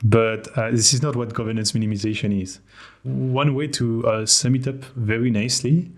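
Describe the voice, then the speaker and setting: A man from France with a French accent, a guest on a podcast, talking candidly with a self-deprecating humor. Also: medium pitch. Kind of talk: casual podcast interview.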